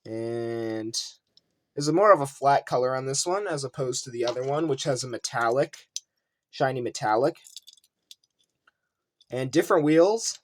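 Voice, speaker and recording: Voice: medium pitch, lively intonation, moderate pace, long pauses. A video host giving casual unboxing commentary, rambling and thinking aloud while showing collectibles. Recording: noisy room with clicking handling sounds.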